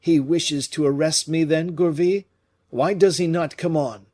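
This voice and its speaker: Brief: haughty noble, barking orders, high and loud, a male reader puts him on. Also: fairly even intonation, normal speed, lightly acted. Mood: imperious. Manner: imperious, exclamatory. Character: agitated proud male French nobleman.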